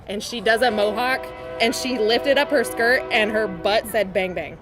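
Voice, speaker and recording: A woman's voice: a little high, normal speed, over music, low-quality recording. A woman, a roller derby skater, chatting in an interview with lots of fillers and giggles. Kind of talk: casual interview answers.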